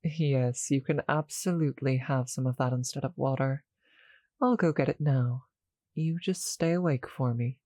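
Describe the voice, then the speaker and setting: A performer voicing a seductive, doting vampire with a possessive streak in an intimate ASMR roleplay, in a slow, breathy, purring manner. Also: mid-range voice, darkish tone, no room sound, studio quality, natural voice. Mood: tender, doting.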